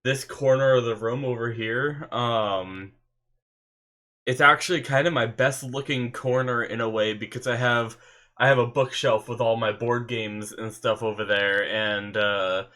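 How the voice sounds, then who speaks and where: A man's voice: mid-range voice, unhurried. A young man with a stuffy nose, chatting casually to his webcam from his bedroom and rambling with lots of fillers as he hosts an anime reaction. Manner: rambling, full of fillers and restarts, excitable. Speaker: anime reaction channel host. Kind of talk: casual rambling chat to camera. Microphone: webcam setup at a desk.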